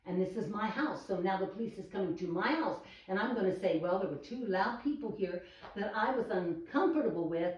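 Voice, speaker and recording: A woman's voice: medium pitch, animated, fairly quick. An older woman in her own house, exasperated and sarcastic, heard from across the room on a phone recording. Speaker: grandmother, owner of the house. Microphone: phone held across the room. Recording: very echoey, distant, dull phone audio.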